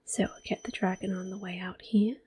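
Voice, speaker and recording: A soft-spoken woman giving gentle ASMR gameplay commentary, often slipping into a whisper close to the microphone. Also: medium pitch, fairly even intonation, a little fast. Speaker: host of a gameplay series. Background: soft game music.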